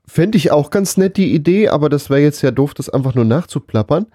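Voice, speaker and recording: A man's voice: fairly high pitch, expressive, a little fast, mellow, no room sound, studio quality. A man chatting casually as a podcast co-host, with laid-back, wry joking. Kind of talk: casual chat, bantering Q&A.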